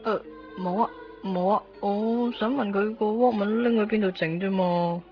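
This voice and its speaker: A woman's voice: mid-range voice, steady tone, normal speed, close to an everyday voice. An actress playing a wistful young woman in a radio drama, tentative and questioning, with a Hong Kong Cantonese accent. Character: wistful young woman pining for a man who left. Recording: muffled radio broadcast under loud music.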